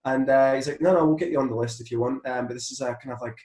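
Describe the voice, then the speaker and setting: A man's voice: mid-range voice, fairly even intonation, very fast, a little mellow. Scottish man chatting in an echoey room. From Scotland. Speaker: interview guest, musician. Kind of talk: casual anecdotal chat.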